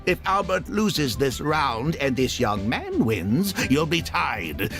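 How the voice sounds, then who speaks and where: A man's voice: a little high, wildly swooping pitch, takes it slowly, exaggerated voice. Fussy middle-aged man, video game dialogue, cautious lecturer, long-winded, wildly varied intonation, music underneath. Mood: cautious, explaining. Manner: wordy, cautious, avuncular lecturing. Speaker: voice actor in a game.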